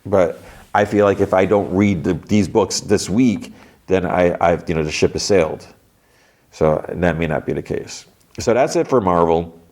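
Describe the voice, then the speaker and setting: A man with a very low, deep voice and a stuffy, congested nose talks through a solo podcast segment. He rambles casually, often restarting sentences and trailing off mid-thought. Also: moderate pace, darkish tone. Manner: rambling, self-interrupting, many restarts. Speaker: podcast host. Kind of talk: casual solo comics chat.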